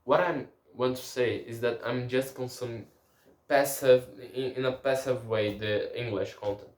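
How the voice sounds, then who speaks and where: A man's voice: mid-range voice, steady tone, normal speed, darkish tone, reverberant. A man whose first language is Portuguese, speaking a casual language-learning diary and often restarting and searching for words.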